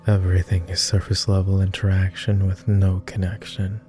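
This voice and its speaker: A man playing a lonely, gentle vampire who speaks formally and haltingly in an intimate ASMR roleplay. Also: deep voice, little pitch movement, faint music behind, lightly acted. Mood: earnest, wistful. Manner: formal, old-fashioned wording with halting, hushed delivery. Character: clingy lonely male vampire, courtly, gentle, easily flustered.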